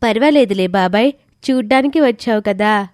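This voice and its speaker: A woman voicing a gentle, responsible schoolgirl, an elder sister in an animated moral story. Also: mid-range voice, normal speed, no room sound, clean studio sound, faint music behind, lightly acted. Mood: gentle, reassuring.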